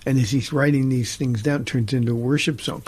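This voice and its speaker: A middle-aged man, a pastor hosting a talk show, teaching from the Bible in an earnest, halting way with frequent restarts. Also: mid-range voice, fairly even intonation, darkish tone, slightly rough.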